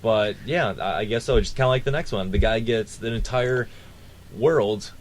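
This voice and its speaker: A man's voice: lowish voice, normal speed. A man with a cold, co-hosting a casual podcast, laid-back and swearing freely. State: sick with a cold. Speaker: podcast co-host. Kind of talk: casual chat.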